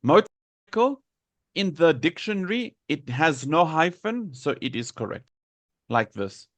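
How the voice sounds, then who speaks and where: A South African man teaching English in a live lesson, deliberately repeating and enunciating words for learners. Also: a little high, big pitch swings, no room sound. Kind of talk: live lesson explaining pronunciation to students.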